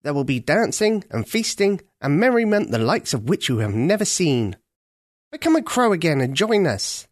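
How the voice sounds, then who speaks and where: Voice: mid-range voice, very animated, moderate pace, dry recording, studio quality, voice pushed up. A storyteller voicing a jolly old senior crow who leads the flock, hearty and coaxing.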